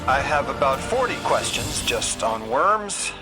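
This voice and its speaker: A man's voice: medium pitch, sing-song, a little slow, rough recording. An actor playing a polite, curious younger male scholar in a video game, earnest and a little hesitant. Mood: nervous, candid. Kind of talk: video game cutscene acting. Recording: loud music underneath. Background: loud cinematic music.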